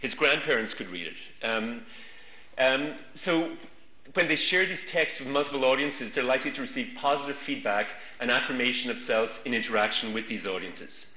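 Man, middle-aged, keynote lecture, measured with fillers, roomy hall, muffled sound. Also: mid-range voice. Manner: measured, thoughtful, many fillers. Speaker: keynote speaker. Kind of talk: conference lecture. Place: large conference hall. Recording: roomy, dull conference recording.

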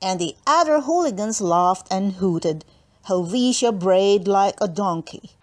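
Female storyteller, mid-pitched, expressive children's book narration. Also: a little slow. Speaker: audiobook reader.

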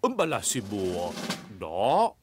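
A man's voice: fairly low pitch, wildly swooping pitch, slow, background noise, full character voice. A man voicing a jolly, showy father pig who plays stage magician in a dubbed children's cartoon, with music behind. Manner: theatrical, showy.

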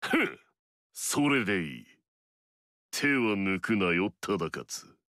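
An actor playing an arrogant, battle-hungry warrior in a video game, curt and scornful with a gravelly edge. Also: male voice, sits low, very animated, slow, sharp tone, no echo, studio quality, exaggerated voice. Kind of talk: video game story dialogue.

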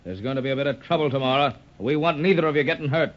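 An actor playing a commanding, protective sea captain with salty nautical talk, in old-time radio adventure style. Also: male voice, mid-range voice, rapid-fire, close to an everyday voice. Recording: dull lo-fi old radio recording.

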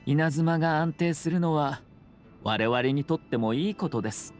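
An actor playing a polite young clan head in an anime game, speaking in a measured, formal way. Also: male voice, a little high, darkish tone, natural voice. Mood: calm, assured. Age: young adult. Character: composed, courteous young noble clan head. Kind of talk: Japanese anime game voice acting. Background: music.

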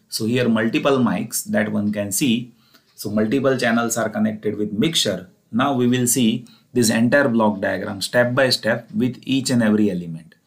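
A man's voice: mid-range voice, gravelly. A man with an Indian accent explaining engineering material step by step as a lecturer, in an explanatory, repetitive way. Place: voice-over recorded over slides.

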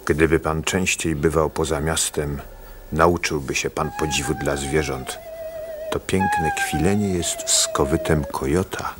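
A man's voice: very deep voice, wildly swooping pitch, measured pace, music in the background, full character voice. An actor playing a sly, insolent hired gunman in a radio drama. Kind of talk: radio play acting.

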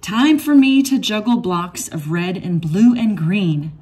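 A woman reading a rhyming children's picture book aloud in an expressive, measured storytelling manner. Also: mid-range voice, husky. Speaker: read-aloud storybook reader.

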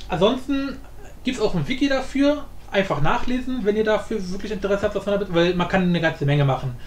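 A man with a notably high voice talks through a tutorial in an informal, think-aloud way. He sounds like he is in a reverberant room, with some light noise behind him. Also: animated, brisk. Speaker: tutorial host. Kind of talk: casual screen-recorded tutorial commentary. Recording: roomy echo with background noise.

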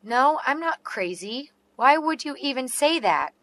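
Female, slow deliberate delivery, clear diction, dry studio sound. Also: sits high, animated, a bit bright, dry recording. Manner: slow and carefully enunciated. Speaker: English teacher reading dialogue. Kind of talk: slow read-aloud English practice phrases.

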